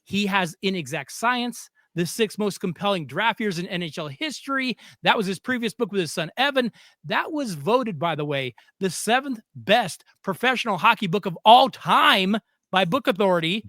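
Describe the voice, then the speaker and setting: A man's voice: sits high, lively intonation, normal speed, pushed and bright, dry recording, studio quality. A man hosting a sports podcast, introducing his guest and asking questions with eager enthusiasm. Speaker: podcast host. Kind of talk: casual sports interview.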